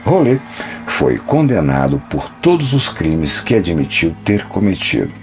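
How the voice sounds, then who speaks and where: A man narrating a scripted documentary in a measured, dramatic storytelling manner. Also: low voice, big pitch swings, faint music behind.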